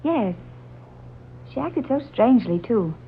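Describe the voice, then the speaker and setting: An actress playing a breezy, inquisitive wife and friend in a 1940s radio drama. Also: female voice, fairly high pitch, big pitch swings, measured pace. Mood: curious. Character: chatty, curious wife and matchmaking friend. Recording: tinny, hissy old 1940s radio recording.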